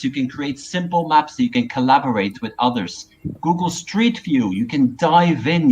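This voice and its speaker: A man co-hosting a live online webinar, presenting and chatting over a video call from a small room. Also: on the high side. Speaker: co-host and presenter. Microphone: wired earphones on a video call. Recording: dull, noisy video call audio.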